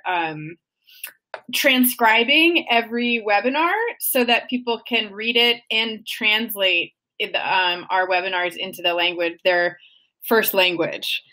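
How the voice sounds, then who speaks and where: A woman's voice: mid-range voice, a little slow, pushed and bright, rough recording. A woman hosting an online webinar, moderating casually and encouraging the other speakers. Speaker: webinar host.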